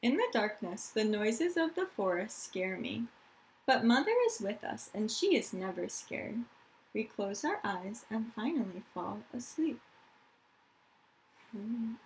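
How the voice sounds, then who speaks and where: A woman teacher reading a picture book aloud to children in an expressive, deliberate storytelling manner, with explanatory asides. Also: a little high, a little hiss or hum, poor audio. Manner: dramatic, deliberate storytelling delivery, addressing children directly.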